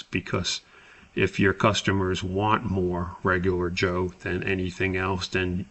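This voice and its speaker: Guy teaching a computer walkthrough, gravelly low voice, explains stuff step by step. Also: a bit bright. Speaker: instructor. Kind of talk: spreadsheet tutorial walkthrough, explanatory.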